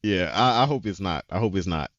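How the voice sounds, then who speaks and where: A man's voice: low voice, fairly quick, dry recording. Slangy man retelling episodes, dry dull sound. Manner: animated retelling, acts out character lines, slangy. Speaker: podcast co-host. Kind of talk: casual chat recapping anime episodes.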